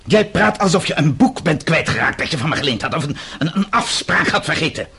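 An actor playing a bitter, defiant middle-aged exile in a radio play, sardonic and accusing. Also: male voice, fairly high pitch, very animated, brisk, gravelly, bright, forceful tone, breathy edge, exaggerated voice. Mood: angry, accusing. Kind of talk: Dutch radio play acting.

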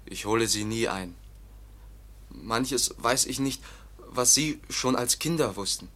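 An actor playing a naive, fearful young foundling in a German radio drama, speaking in a halting, plaintive, simple way. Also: male voice, medium pitch, bright, forceful tone. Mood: sorrowful.

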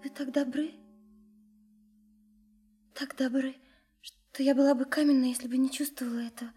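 An actress in a radio drama playing a sincere, lovesick young woman, soft and hesitant, often dropping into a breathy whisper. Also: sits high, little pitch movement, moderate pace, soft background music, natural voice. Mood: earnest, confiding. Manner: hesitant, earnest, confiding. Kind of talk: intimate radio drama acting.

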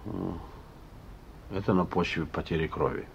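An actor playing a sullen, fatalistic, wounded young petty criminal, curt and colloquial, in a Soviet radio play. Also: male voice, fairly even intonation, moderate pace, creaky, dull audio. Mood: weary, subdued. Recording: noisy old radio recording with rumble.

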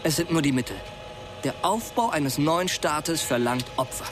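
An actor playing a fervent, unbending patriot in a radio drama, arguing insistently. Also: male voice, fairly high pitch, animated, moderate pace, background noise, lightly acted. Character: fervent male patriot aircrew member, conspiratorial, unbending. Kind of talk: naturalistic German radio drama acting. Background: loud music under the dialogue.

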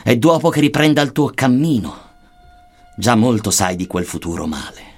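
A man's voice: mid-range voice, sing-song, normal speed, darkish tone, husky. A man recites archaic epic verse in a solemn, theatrical manner. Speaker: reader of verse. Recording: music under the voice. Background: music.

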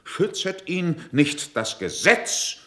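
Stern male courtier, declamatory, slow, highly animated intonation, formal verse, classical radio play. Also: full character voice. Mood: stern indignation. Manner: declamatory, formal, weighty pronouncements. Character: stern, eloquent courtier accusing a rival before his prince.

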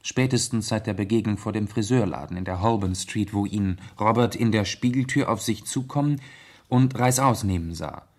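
An actor playing a gentleman detective in a 1970s radio play, reasoning calmly in with measured, deliberate pauses. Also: on the low side, lightly acted. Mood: pondering, explanatory.

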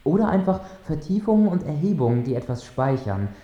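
A man playing a measured mission control lecturer, giving a formal address in a radio drama. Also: fairly high pitch, big pitch swings, mellow. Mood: explaining. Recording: dull, echoing transmission sound.

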